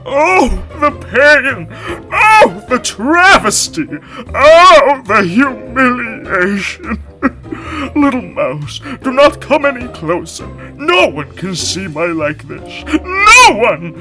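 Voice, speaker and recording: A man voicing a vain, pompous male lion who speaks in a grand, drawn-out, melodramatic way. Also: a little high, very animated, fairly bright tone, soft background music, pitched above the performer's own voice, full character voice. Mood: wailing. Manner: grand, drawn-out, theatrical delivery.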